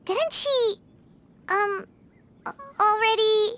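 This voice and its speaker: Squeaky, very high childlike fairy sidekick. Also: female voice, slow, a bit bright, full character voice. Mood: puzzled, hesitant. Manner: blurting, casual, inquisitive. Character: small, blunt, chatty childlike fairy companion. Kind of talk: animated video game voice acting.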